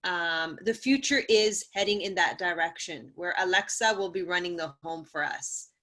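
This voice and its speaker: A woman investor asking questions in a pitch meeting, upbeat and encouraging. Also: normal speed, pushed and bright.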